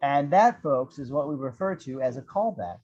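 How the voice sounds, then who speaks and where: A man's voice: medium pitch, animated, moderate pace, a little mellow. A man narrating action descriptions at a script table read, with irreverent, wry asides. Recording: dull, lo-fi audio.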